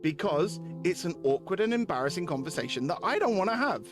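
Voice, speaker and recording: A man's voice: high voice, big pitch swings, a little fast, music in the background, pitched above the performer's own voice. Man reading an awkward, defensive young game protagonist. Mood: defensive, embarrassed. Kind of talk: visual novel read aloud.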